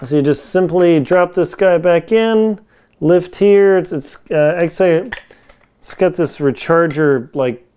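Clear-voiced man reviewing gear casually. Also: a little high, unhurried, a little mellow. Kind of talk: casual hands-on product review. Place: home kitchen, indoors. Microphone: lapel mic.

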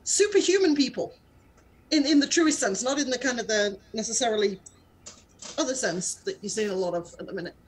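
A woman's voice: on the high side, animated, fairly quick, low-quality recording. A woman chatting casually in an interview over a video call. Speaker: actor. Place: indoor room, on a video call.

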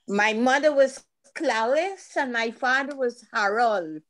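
Slow-talking elderly Guyanese woman. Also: fairly high pitch, animated, a bit bright, no room sound, poor audio. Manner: slow, laughing, brief answers. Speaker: guest. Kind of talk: casual interview on a video call. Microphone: video call.

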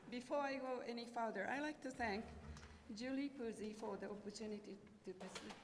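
A middle-aged Japanese woman with a Japanese accent, giving a careful, prepared personal speech at a podium with a handheld microphone, sometimes restarting words. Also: mid-range voice. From southern Japan. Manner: careful, halting delivery with restarts. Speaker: guest speaker. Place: large school auditorium stage, at a podium. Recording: echoey auditorium with faint hiss.

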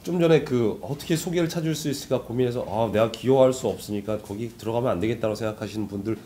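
Mellow male interviewer with a cold. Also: medium pitch, fast, slight background noise. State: has a cold with a sore throat. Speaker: interviewer, host of a business talk show. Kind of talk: casual conversational interviewing with frequent backchannels.

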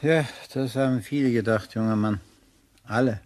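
A man's voice: medium pitch, moderate pace, a little mellow, slight background noise, lightly acted. A man playing a dignified, formal older family friend in a radio crime drama. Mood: sympathetic, admiring.

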